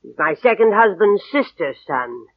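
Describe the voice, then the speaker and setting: An actress playing a vain, demanding old invalid aunt in 1940s radio drama, querulous, condescending and coquettish. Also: female voice, medium pitch, very animated, normal speed, dull audio. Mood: impatient, fussy. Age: older. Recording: husky band-limited old radio recording.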